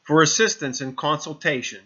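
Male narrator for a business explainer, bright and forceful delivery, measured phrasing, slightly muffled lo-fi audio. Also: fairly high pitch, expressive, slightly rough. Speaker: narrator of a real estate explainer. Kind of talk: scripted narration read from slides.

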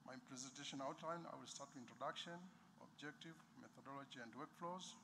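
A man's voice: medium pitch, brisk. Tanzanian-born male presenter, plain delivery, noisy conference hall. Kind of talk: formal presentation opening. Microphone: podium microphone.

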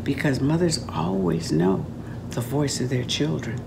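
Older woman storyteller, lowish voice. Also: vocal fry, noisy background. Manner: dramatic, deliberate storytelling. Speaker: author reading aloud from own book. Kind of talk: expressive storybook read-aloud. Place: room at home, indoors.

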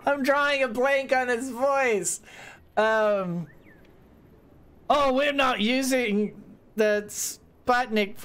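A man's voice: very high-pitched, very slow, pauses often, fairly bright tone, clear, resonant tone. A man live-streaming a game playthrough from a home setup, reading the dialogue aloud, giggling and mocking himself as he fumbles the character voices. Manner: giggly, self-mocking, breaks into laughter. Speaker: let's-play streamer.

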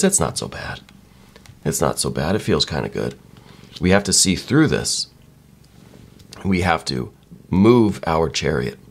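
Low-voiced man musing over tarot cards. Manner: musing, repeats "right?" often. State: slightly croaky. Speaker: tarot reader. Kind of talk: soft-spoken tarot reading.

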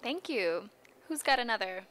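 A woman's voice: on the high side, expressive, moderate pace, fairly bright tone, a little hiss or hum. A woman moderating an audience question and answer session on an outdoor stage. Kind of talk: hosting an audience Q&A.

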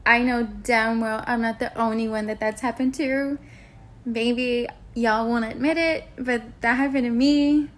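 A young woman with a fairly high voice talks to camera like a vlogger. Her delivery is informal and candid, with slangy emphasis as she tells a personal story from home. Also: fairly even intonation, a little slow. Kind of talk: casual storytelling chat to camera. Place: indoors at home on a couch.

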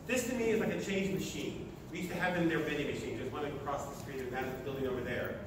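A sixty-year-old American man from Oklahoma giving a motivational talk to an audience in short, emphatic phrases, recorded from far off in a large lecture hall. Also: on the high side. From Oklahoma, United States. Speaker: speaker at a sustainability meeting. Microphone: distant camera at the back of the room. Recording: echoey hall from far off, noisy.

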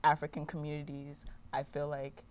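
Young nigerian woman on a tv talk show, low voice, smooth, lots of likes and ums. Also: monotone, normal speed. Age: young adult. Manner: chatty, many fillers like "like" and "um". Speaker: guest. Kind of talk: casual interview talk. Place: TV studio set.

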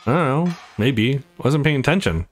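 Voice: mid-range voice, lively intonation, normal speed, faint music behind, close to an everyday voice. A let's player voicing a sulky, self-pitying young athletic woman from a visual novel, blunt and bitter. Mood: dejected.

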